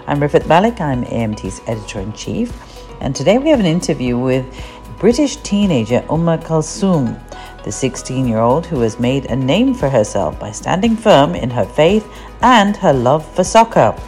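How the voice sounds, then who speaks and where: A woman with a remarkably deep voice presents a podcast. She reads a scripted introduction as host, with faint music playing underneath. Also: sing-song, normal speed, darkish tone. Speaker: podcast host, editor-in-chief.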